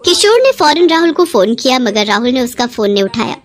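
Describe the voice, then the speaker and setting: High-pitched, lively woman storyteller. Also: brisk. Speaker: story narrator. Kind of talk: scripted dramatic storytelling narration.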